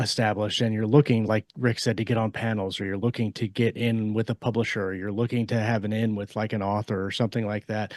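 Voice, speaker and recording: A man co-hosting a casual author podcast, giving advice in a halting way as he repeats and rephrases his points. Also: fairly low pitch, darkish tone, no room sound, studio quality.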